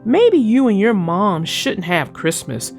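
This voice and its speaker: A woman voicing a brainy young schoolboy in a children's storybook, explaining things in a measured, instructive manner. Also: medium pitch, very animated, creaky, a little mellow, soft background music, full character voice. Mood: advising.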